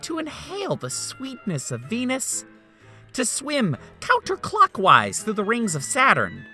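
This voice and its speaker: A woman playing a theatrical space agency representative in an amateur game dub, giving a grandiose, hammy pitch. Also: wildly swooping pitch, a little slow, sharp tone, faint music behind, heavily acted.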